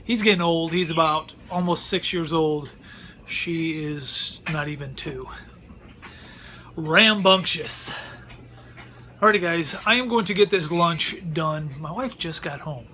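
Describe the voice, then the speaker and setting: Middle-aged male vlogger, relaxed rambling delivery with lots of restarts, voice sitting a little high. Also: a little slow, a little hiss or hum. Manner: rambling, repeats words and restarts often. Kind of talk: casual vlog chat. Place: at home. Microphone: close mic.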